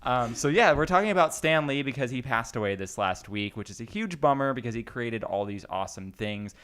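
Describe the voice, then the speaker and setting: A man's voice: medium pitch, expressive, a little fast, fairly bright tone, clean tone. Casual male podcast host, chatty. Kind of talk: casual chat.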